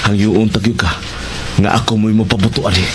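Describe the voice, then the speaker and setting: A man's voice: on the low side, fairly even intonation, normal speed, natural voice. A man with a Cebuano accent acting as a vigilante's wary, questioning but loyal accomplice in a radio drama. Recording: music behind the voice.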